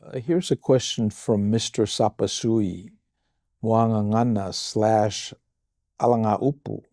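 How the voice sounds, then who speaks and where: A Samoan man, a pastor, answering listener questions on a podcast in a slow, measured way with thoughtful pauses and a Samoan accent. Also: fairly low pitch, fairly even intonation, dark tone, no room sound, studio quality. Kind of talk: reflective podcast talk.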